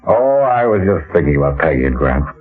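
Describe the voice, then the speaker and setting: A hearty, lively-voiced small-town mayor. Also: male voice, extremely low pitch, fairly quick, husky, exaggerated voice. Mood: relaxed, fond. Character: genial outgoing mayor, devoted easygoing husband. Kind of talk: old-time radio drama acting. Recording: dull old radio recording with music.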